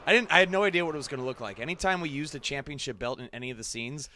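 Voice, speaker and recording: A man's voice: medium pitch, racing, bright, forceful tone. A man gives casual, chatty commentary on game scenes in a mid-range voice. He is self-critical as he reacts. There is slight noise behind him. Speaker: game performer giving commentary on own scenes. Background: video game scenes playing.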